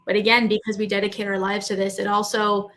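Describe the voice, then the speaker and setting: A woman's voice: mid-range voice, level tone, fast talker, a bit bright. A woman hosting a live online talk, speaking fluently in an earnest and emphatic way.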